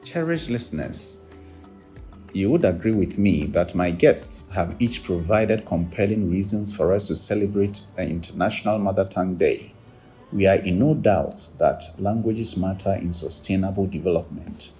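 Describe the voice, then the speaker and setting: Low-voiced man hosting a podcast. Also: normal speed, darkish tone, husky, soft background music. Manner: formal, courteous address to listeners. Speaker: podcast host.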